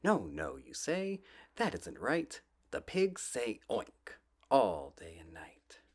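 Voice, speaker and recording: Man, soft and whispery, unhurried, lilting wide-ranging intonation, gentle ASMR bedtime reading. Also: lowish voice, wildly swooping pitch, breathy edge. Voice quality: soft, often dropping to a whisper. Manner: gentle, drawn-out, playful storybook delivery. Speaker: ASMR channel host reading children's books aloud.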